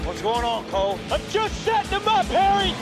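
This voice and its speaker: Intense racing-film man, played by a male actor, animated delivery, loud score and engines underneath. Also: very high voice, brisk. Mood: tense. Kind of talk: dramatic racing film dialogue. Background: loud music and race car engines.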